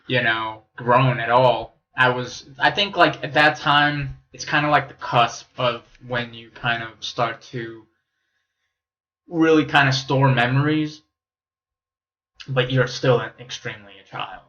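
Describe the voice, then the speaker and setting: A man's voice: mid-range voice, fairly even intonation, unhurried, pushed and bright, muffled recording. A young man born in Romania, hosting a podcast in loose, joking chat and swearing casually. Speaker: podcast host.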